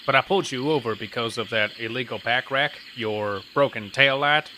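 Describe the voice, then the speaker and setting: A man's voice: medium pitch, moderate pace, pushed and bright, close to an everyday voice. A man playing a pompous traffic cop who rattles off strings of alliteration in an officious tone. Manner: officious, tongue-twisting, rising to rants.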